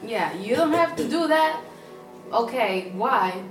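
A young Dominican woman chatting as a podcast guest, pausing often with "um" and "like". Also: a little high, lively intonation, soft background music. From Dominican Republic. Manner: hesitant, fills pauses with "um" and "like". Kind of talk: casual chat. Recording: roomy, distant, voices behind.

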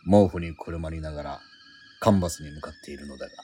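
A broke, self-mocking painter narrates his cold little life in a deep, low voice, muttering dry asides to himself. His tone is quietly wry, with faint music under the narration. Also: quick pace. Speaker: lead actor and narrator. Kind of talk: radio drama inner monologue.

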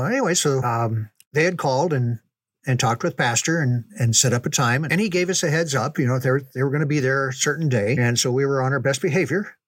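An older man reminiscing casually in a folksy, unhurried, conversational way, as a storyteller. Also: mid-range voice, breathy edge, no echo. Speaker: storyteller recalling ministry memories.

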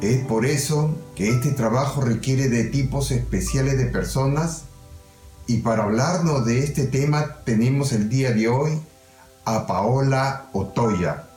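A man hosting an interview, introducing his guest in a measured, formal way. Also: mid-range voice, a little mellow, echoey room, soft background music. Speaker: host. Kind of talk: presenting an interview.

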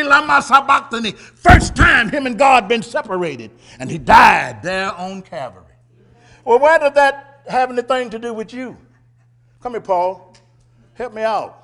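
A man a fiery sermon, shouting scripture and then dropping to low murmurs while calling out to the congregation. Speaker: preacher.

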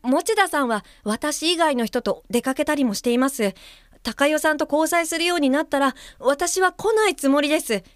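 An actress playing a polite, conscientious young female guide-helper in a radio drama, reading a letter aloud in a soft, measured voice. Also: high-pitched, dry recording, studio quality, close to an everyday voice. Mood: apologetic. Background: music under the voice.

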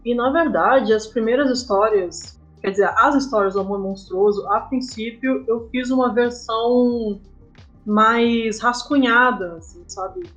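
A woman's voice: fairly high pitch, measured pace, faint music behind, rough recording. A Brazilian woman raised in São Paulo, telling her own story informally as an interview guest. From southern Brazil-born, raised in São Paulo. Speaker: guest, comic artist and storyboarder. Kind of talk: casual interview, personal storytelling.

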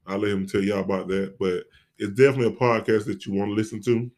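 A man's voice: on the low side, flat delivery, fast, darkish tone. An American man speaking casually and informally as an interview guest, repeating phrases for emphasis. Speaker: podcast guest, stage play actor.

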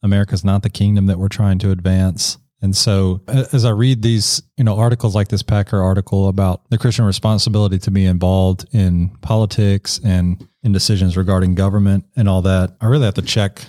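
Low-voiced candid man, very dry sound. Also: level tone, a little fast, few pauses, warm tone, no room sound. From Ecuador. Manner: candid, reflective, frequent self-corrections. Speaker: podcast co-host. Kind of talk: casual discussion.

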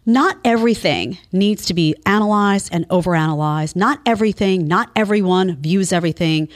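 A woman's voice: lowish voice, moderate pace. A woman hosting an opinion show, delivering a sarcastic, incredulous, emphatic monologue. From Italian family background. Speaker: show host.

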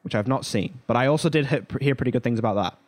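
A man with a medium-pitched voice co-hosts a casual pop culture discussion. He talks informally and offers his opinions in a conversational way. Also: fast. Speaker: podcast co-host.

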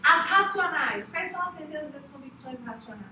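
A woman's voice: high voice, animated, fairly quick, bright, forceful tone, a little hiss or hum. A woman hosting, giving an emphatic motivational faith talk straight to her listeners. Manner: emphatic, exhorting the listener directly. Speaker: host. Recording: echoey room, voice from far off.

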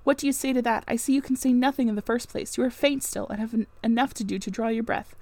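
A woman reading a classic novel aloud, voicing an impassioned, brooding married gentleman in long theatrical speeches. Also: on the high side, steady tone, quick pace, no echo. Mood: bitter, accusing. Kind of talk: dramatic audiobook-style reading of a classic novel.

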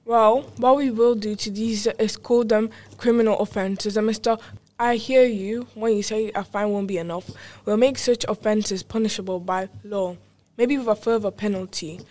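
A woman's voice: mid-range voice, fairly even intonation, normal speed, a little mellow, lightly acted. A woman playing an earnest local councillor in an amateur radio drama. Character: earnest local councillor promising tough climate laws.

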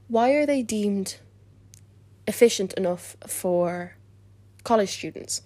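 A woman's voice: medium pitch, darkish tone, no room sound. A nineteen-year-old woman student co-hosting a podcast, making an earnest, emphatic argument and repeating her points. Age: young adult.

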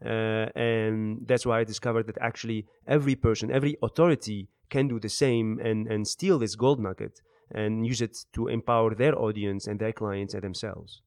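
A man in his thirties from Iraq and the Netherlands, answering interview questions in a halting, word-searching way. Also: fairly low pitch, fairly even intonation, a little mellow, no echo, studio quality. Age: 30s. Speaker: interview guest, author and business speaker.

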